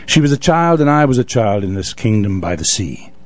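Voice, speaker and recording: A man reciting classical poetry theatrically as a wistful, grieving lover, with dramatic, measured storytelling. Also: lowish voice, very animated, dry recording. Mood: tender, wistful. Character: wistful, grieving male lover narrating a gothic poem.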